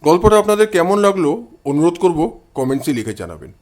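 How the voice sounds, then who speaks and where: A man's voice: fairly high pitch, big pitch swings, a little fast, a little mellow. A man narrating a detective story in a dramatic, scripted storytelling style.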